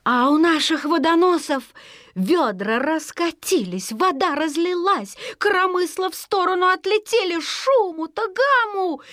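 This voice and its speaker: Female storyteller, high and animated, singsong folksy delivery for a children's folk tale. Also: no echo, clean studio sound. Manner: singsong folksy storytelling with drawn-out repeated words. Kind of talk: expressive scripted narration of a children's folk tale.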